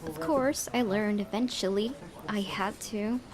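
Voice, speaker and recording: A woman's voice: medium pitch, animated, measured pace, vocal fry, crowd noise. A woman let's player reading visual novel narration aloud, with casual asides and occasional stumbles that she corrects. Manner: stumbles over words and corrects herself.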